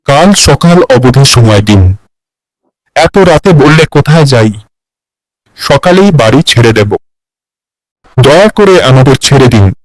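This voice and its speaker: A man's voice: mid-range voice, animated, takes it slowly, pauses often, no echo, rough recording. A man voicing a polite, meek homeowner husband who speaks in a placating way in a cartoon. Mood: pleading.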